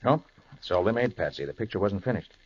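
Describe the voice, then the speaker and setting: A man's voice: fairly low pitch, animated, fast, darkish tone, husky, no room sound. An actor playing a shrewd, level-headed male detective in a 1940s radio drama. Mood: calm. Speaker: detective lead. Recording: muffled 1940s radio broadcast.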